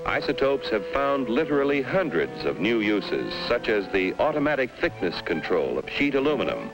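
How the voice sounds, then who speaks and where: A man with an American accent delivers formal 1950s educational film narration. His intonation rises and falls widely, with dramatic emphasis on key phrases. A musical score plays clearly behind him on the vintage soundtrack. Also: medium pitch, poor audio.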